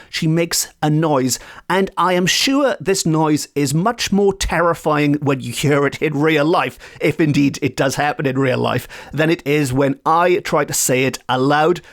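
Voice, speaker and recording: A man from Wales who speaks Welsh, hosting a folklore podcast from home and telling the tale with playful asides. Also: a little high. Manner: lively, animated storytelling with playful asides. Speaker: podcast host. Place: home in a residential area.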